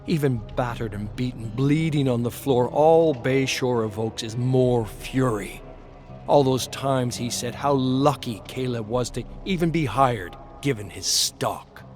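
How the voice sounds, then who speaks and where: A man's voice: medium pitch, measured pace, a little mellow. Dramatic male storyteller over music. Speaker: narrator. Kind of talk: dramatic scripted story narration in present tense. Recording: music under the voice.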